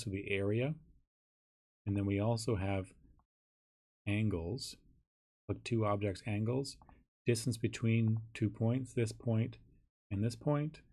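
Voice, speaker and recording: Hoarse, husky man explaining software. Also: on the low side. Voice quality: raspy. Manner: casual, thinks aloud with ums and restarts. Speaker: teacher. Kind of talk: screen-recorded software tutorial.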